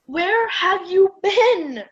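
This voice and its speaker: A woman's voice: very high voice, lively intonation, extremely slow, muffled recording, exaggerated voice. A young woman acting a whiny, self-pitying teenage girl on a camping trip in an amateur radio play. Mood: frightened, pleading. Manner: complaining, dramatic. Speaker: student radio play performer.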